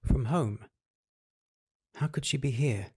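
A man's voice: medium pitch, slow, a little mellow, breathy edge. An actor playing a secretive, controlling male lighthouse keeper in an audio drama. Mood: coolly probing.

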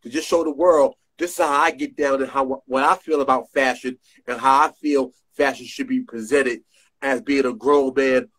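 A middle-aged man with a New York area accent talks as a guest over a phone video call from a small room. His voice is at an ordinary male pitch, and he speaks in street slang, stacking repeated words for emphasis. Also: steady tone, moderate pace, fairly bright tone, poor audio. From New Jersey, USA. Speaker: guest, fashion designer. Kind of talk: casual interview on a video call. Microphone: phone front camera.

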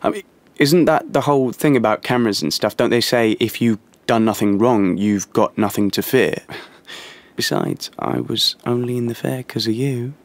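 A man's voice: medium pitch, normal speed, natural voice. An actor playing a naive, lovesick young British man in an audio play, telling his story in a colloquial, confiding way. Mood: defensive. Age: young adult. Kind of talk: audio play monologue and dialogue.

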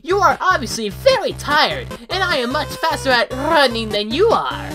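Woman playing an excitable high-pitched skeleton hero. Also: normal speed. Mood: excited, triumphant. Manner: theatrical, bombastic, eager. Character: excitable, childlike, caring male skeleton hero. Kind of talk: fan comic dub acting. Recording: music behind the voice.

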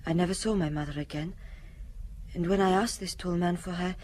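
An actress as a sad, halting young woman. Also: fairly low pitch, fairly even intonation, darkish tone, a little hiss or hum, lightly acted. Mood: sad, remembering. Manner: halting, reflective. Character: sad, quiet young wartime refugee woman, now widowed. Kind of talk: radio drama acting.

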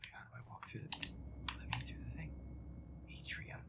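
A man's voice: a little fast, lightly acted. A man as a whispery, grumpy gamer boyfriend. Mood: grumbling. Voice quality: breathy, often whispered. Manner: muttering, sweary, exasperated. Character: feisty, grumpy werewolf boyfriend playing a scary video game. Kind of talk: boyfriend audio roleplay as gameplay commentary.

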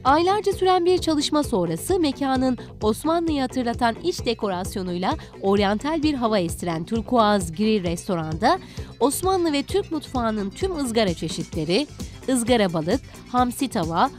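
A woman's voice: on the high side, sing-song, moderate pace, darkish tone. A woman reading scripted narration for a TV feature report. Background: background music.

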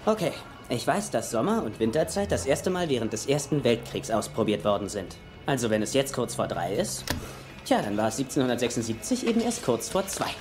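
A man's voice: medium pitch, sing-song, a little fast, close to an everyday voice. A man acting a cheeky, talkative young male sidekick in a German film dub, a little smug. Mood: showing off. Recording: film soundtrack with music behind.

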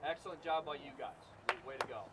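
A man's voice: fairly high pitch, steady tone, fairly quick, heavy background noise. A man giving an informal, encouraging speech to a group outdoors as a youth sports organizer, picked up from a distance. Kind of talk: informal award-ceremony speech. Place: outdoors on a sports field at night. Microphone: camera mic at a distance.

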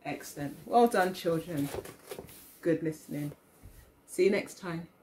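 A middle-aged woman, an early years teacher, reads a picture book aloud to young children, expressively and deliberately, with questions for them. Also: lowish voice, dark tone, slight background noise, poor audio. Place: living room at home, indoors.

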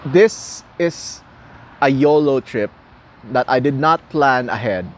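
Young male streamer who speaks Tagalog natively, casual and animated, recorded outdoors on a phone with faint street noise. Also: mid-range voice, slow pace. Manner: chatty, laughs often, repeats words. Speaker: live streamer. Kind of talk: casual chat with viewers. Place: outdoors at a gas station at night. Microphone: phone camera at arm's length. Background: traffic and city ambience.

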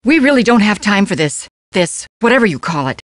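Game role for a woman: practical exasperated homeowner, mid-pitched, close dry recording. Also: sing-song, a little fast, no room sound, close to an everyday voice. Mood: impatient. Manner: stern, no-nonsense. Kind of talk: video game voice acting.

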